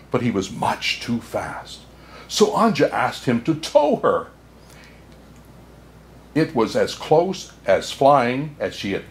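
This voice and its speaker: A man playing a kindly, jolly old Santa Claus, reading a children's storybook aloud in an expressive way. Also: mid-range voice. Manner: unhurried, chuckling asides, expressive storytelling.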